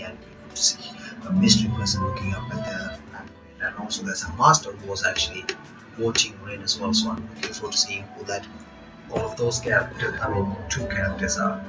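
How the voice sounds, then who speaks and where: Sleepy, lowish-voiced man hosting a reaction. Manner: relaxed, rambling, reads game text aloud. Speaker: reaction video host. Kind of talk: casual commentary while reading a visual novel aloud. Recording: dull lo-fi recording with game music.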